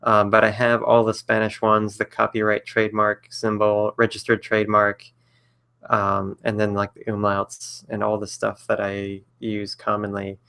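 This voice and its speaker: Man, late twenties, low pitch, gravelly, hesitant rambling, frequent ums and chuckles, casual live Q&A. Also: completely flat delivery, normal speed, sharp tone. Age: 20s. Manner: rambling, hesitant, lots of fillers and self-corrections; chuckles often between thoughts. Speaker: author answering viewer questions.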